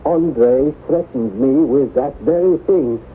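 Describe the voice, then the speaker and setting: An actor playing an anguished, devoted nobleman husband, formal, grave and halting, in old-time radio drama style. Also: medium pitch, faint music behind, lightly acted. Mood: grave, haunted. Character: anguished, haunted nobleman husband devoted to his ailing wife. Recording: noisy, narrow-band vintage radio broadcast.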